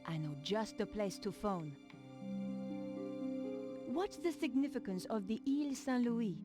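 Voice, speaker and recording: A woman's voice: a little high, lively intonation, a little slow, over loud music. A woman playing a determined, stubborn young investigative reporter in an adventure game. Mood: inquisitive. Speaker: game protagonist and narrator.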